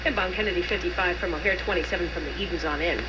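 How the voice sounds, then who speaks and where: A woman giving a rapid traffic report as a radio reporter, heard over AM radio. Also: on the low side, highs cut off. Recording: very noisy AM radio reception.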